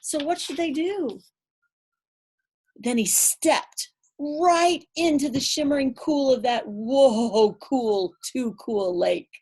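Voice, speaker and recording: A woman's voice: high voice, darkish tone, slightly rough. A woman storyteller reading a picture book to children, playful and rhythmic, with chatty asides. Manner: playful, drawn-out, rhythmic delivery. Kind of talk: animated picture-book read-aloud with asides to young listeners.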